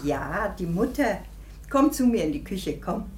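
A woman's voice: mid-range voice, sing-song, a little slow, slight background noise. A woman playing a kindly older mother in a radio play, soothing and homely. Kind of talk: German radio play acting.

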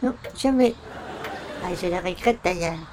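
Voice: medium pitch, normal speed, darkish tone, close to an everyday voice. A performer playing an overly courteous, naive visitor in a radio comedy, speaking in a formal, flowery, pompous way. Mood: courteous, puzzled. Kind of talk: 1940s-style radio comedy acting.

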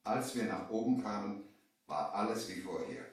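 A man's voice: on the low side, lively intonation, normal speed, far from the mic, natural voice. An actor playing an earnest merchant from Baghdad who narrates his adventure in a dramatic vintage audio play. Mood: grave narration. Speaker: narrator and lead character. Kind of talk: scripted storytelling, 1970 audio drama. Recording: echoey vintage LP, off the mic.

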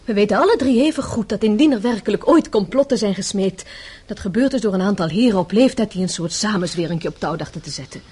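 Husky, mellow, resolute daughter. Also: female voice, mid-range voice, lively intonation, quick pace. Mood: pleading, insistent. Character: resolute captive grown daughter, pragmatic and composed. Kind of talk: radio drama acting.

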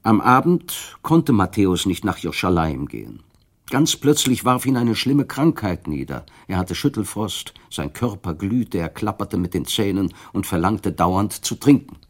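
A man narrating a literary radio play, measured and expressive, rising with the drama. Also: deep voice.

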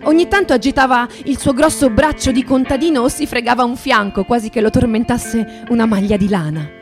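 A woman acting in poetic radio theatre as a wondering, solemn storyteller, with a measured, lyrical delivery. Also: a little high, natural voice. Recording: guitar music behind the voice.

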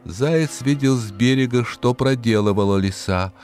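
A man narrating a fairy tale expressively, in a measured, dramatic way that savours the words. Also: mid-range voice, darkish tone, faint music behind. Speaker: storyteller, narrator.

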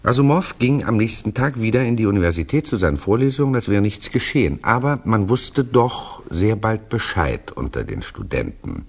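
A man playing a knowing, reflective storyteller in a German radio play, explaining patiently and deliberately in conversation. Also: fairly low pitch, big pitch swings, vocal fry, slightly rough, heavily acted. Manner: deliberate, ruminative, gently correcting.

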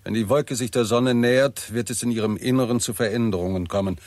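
An actor playing a confident, shrewd professor of astronomy, explaining precisely in a 1960s German radio drama style. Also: male voice, medium pitch, brisk, darkish tone, no room sound, natural voice. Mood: self-assured. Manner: didactic, precise, self-assured.

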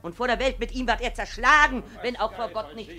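Woman playing a high-voiced, scolding village mother. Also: fairly quick, crowd noise, close to an everyday voice. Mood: indignant. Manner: declamatory, scolding. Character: furious, scornful, sharp-tongued village mother. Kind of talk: 1950s German radio drama acting. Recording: 1950s radio recording.

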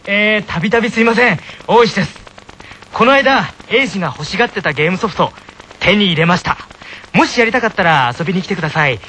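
A man's voice: sits high, animated, fast, slight background noise. A man playing an earnest, polite teenage tennis club vice-captain in a comic anime radio sketch. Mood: earnest, cheerful. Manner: polite, eager, self-announcing. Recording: loud music bed behind voice. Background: music.

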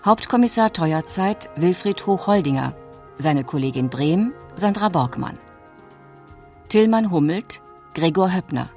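A woman announcer reading scripted credits in a measured, deliberate way. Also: lowish voice, lively intonation, a little mellow. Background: music.

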